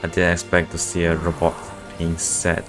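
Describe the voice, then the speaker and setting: Deep-voiced male game streamer. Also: completely flat delivery, moderate pace. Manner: muttering short reactions, sweary. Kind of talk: casual live gameplay commentary. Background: game music.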